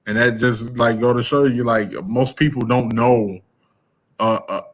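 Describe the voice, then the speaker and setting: A man's voice: mid-range voice, fairly even intonation, a little slow. A man, talking casually as a podcast host, rambling with fillers and restarts. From American Midwest. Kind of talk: casual conversation.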